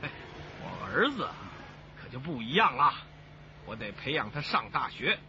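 A man's voice: mid-range voice, sing-song, moderate pace. An actor playing a hearty, boastful building foreman in a radio drama, with an expansive, folksy, self-assured manner. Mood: proud, worldly. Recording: dull, noisy radio drama soundtrack.

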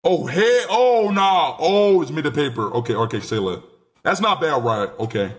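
A nineteen-year-old man streaming live from a small room on a headset mic, excitable and rambling, swearing freely. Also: on the high side, normal speed. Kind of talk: casual live stream chat.

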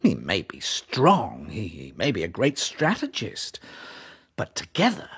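An actor playing a wily medieval French king, breathy and insinuating, coaxing with questioning turns in an audio drama. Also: lowish voice, huge pitch swings, measured pace, a bit bright, exaggerated voice. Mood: confiding, sly. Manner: insinuating, punctuates points with a questioning yes.

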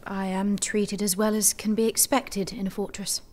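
A woman's voice: medium pitch, level tone, normal speed, darkish tone, lightly acted. A woman playing a devout, resolute young peasant prisoner in an audio drama, earnest, plain-spoken and guarded. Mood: guarded, weary.

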